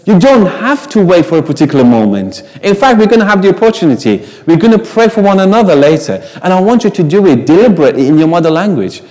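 A man's voice: high voice, big pitch swings, fast, few pauses, dark tone, big-room sound, poor audio. A man whose first language is Farsi, preaching a sermon in an emphatic way, asking the congregation rhetorical questions.

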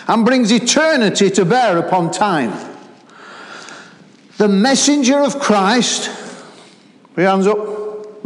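Middle-aged Lancashire man preaching with animated energy, fairly high voice, echoing church hall. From Lancashire, England. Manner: animated, calls to the congregation. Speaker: guest preacher. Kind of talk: sermon. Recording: roomy hall with long echo.

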